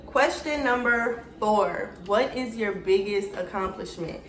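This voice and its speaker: A woman's voice: mid-range voice, a little slow, a bit bright, echoey room, rough recording. A woman in her twenties from Atlanta with an Atlanta accent, chatting casually to her viewers in a get-to-know-me video. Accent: Atlanta Southern US. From Atlanta, Georgia, USA. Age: 20s. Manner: chatty, slangy, addresses viewers directly. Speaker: YouTuber.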